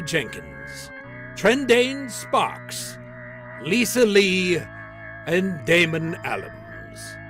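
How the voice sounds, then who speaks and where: Male host for closing credits: scripted, animated intonation, bright voice, faint music behind. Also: high-pitched.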